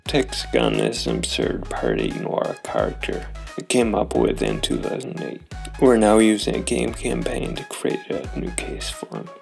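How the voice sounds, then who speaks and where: Very gravelly man hosting, with lively intonation. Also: on the low side, unhurried, soft background music. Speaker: host of a solo roleplaying show. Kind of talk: scripted intro and recap to camera or mic.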